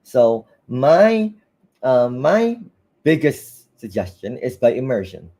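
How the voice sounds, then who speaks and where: A man's voice: mid-range voice, sing-song, takes it slowly, warm tone. A man with a mixed Malaysian and Western English accent, hosting an online talk and giving casual advice in a chatty way, with many fillers and restarts, speaking over a video call. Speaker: host of an English talk webinar.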